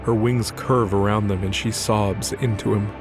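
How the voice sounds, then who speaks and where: A man with a somewhat deep voice narrates scripted fiction. He serves as storyteller for a dramatic audio production, with music playing clearly behind him. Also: fairly even intonation, moderate pace.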